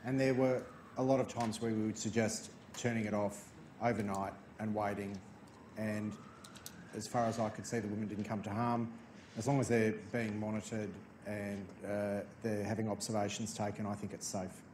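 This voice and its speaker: A man speaking on a hospital panel, hesitant and halting. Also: lowish voice, little pitch movement, darkish tone, reverberant, a little hiss or hum. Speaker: panelist, clinician. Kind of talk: panel discussion.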